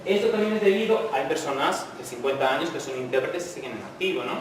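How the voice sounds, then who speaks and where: A man's voice: on the high side, animated, fast, recorded from a distance, noisy background. A man speaking as a conference lecturer to students, in an informal way with frequent tag questions, inviting the audience to interrupt. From Spain. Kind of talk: university lecture talk. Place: lecture hall. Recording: echoey hall, voice from afar.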